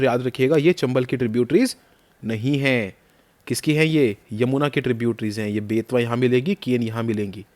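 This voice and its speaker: A man teaches a map lesson for exam prep at a middle pitch. He quizzes the listener as he goes and repeats the key names. His throat is a little sore. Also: darkish tone.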